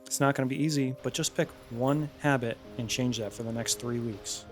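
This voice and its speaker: A man's voice: medium pitch, steady tone, a little fast, over music. A man in his thirties, a fitness coach, talking casually and bluntly to camera with plenty of energy and the odd swear word. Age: 30s. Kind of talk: casual direct-to-camera talk.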